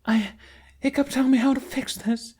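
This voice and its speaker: Man voicing a desperate young woman, high-pitched. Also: fairly even intonation, moderate pace, a little mellow, husky. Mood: desperate, pleading. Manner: urgent, pleading. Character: desperate, pleading young woman dragon rider. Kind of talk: audiobook character voice.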